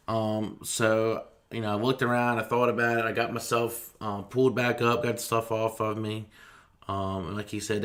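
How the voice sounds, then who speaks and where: A man's voice: fairly low pitch, fairly bright tone. A man speaking as a firefighter in an interview, recounting his own experience in a plain, understated way.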